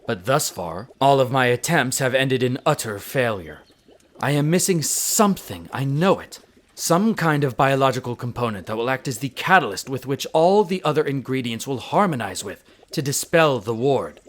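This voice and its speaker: Man acting as a haughty, animated elf scholar. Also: mid-range voice, husky. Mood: frustrated, scholarly. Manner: pompous, precise, theatrical enunciation. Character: tsundere male elf archaeologist-alchemist, haughty, brisk, teasing scholar. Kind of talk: scripted audio roleplay addressed to the listener.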